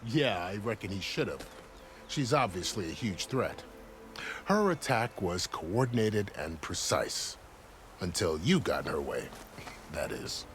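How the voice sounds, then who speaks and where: Lively, low-voiced frontier commander. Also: male voice, a little slow, a little hiss or hum, heavily acted. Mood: grave. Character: friendly, dutiful male gate commander in fantasy frontier. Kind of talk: video game voice acting.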